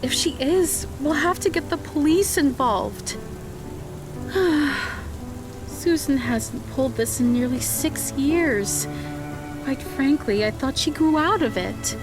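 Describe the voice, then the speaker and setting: A woman's voice: high-pitched, expressive, takes it slowly, rough recording. A woman playing a worried mother in an audio drama, speaking in an earnest, anxious manner. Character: worried mother of a teenage girl. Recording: music behind the voice.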